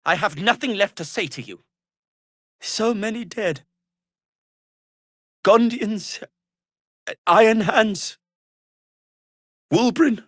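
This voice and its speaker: A man's voice: high voice, takes it slowly, long pauses, fairly bright tone, full character voice. A man playing an earnest, principled gnome in a video game, pleading and moralizing in articulate, emphatic phrases. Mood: anguished anger. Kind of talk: dramatic video game dialogue acting.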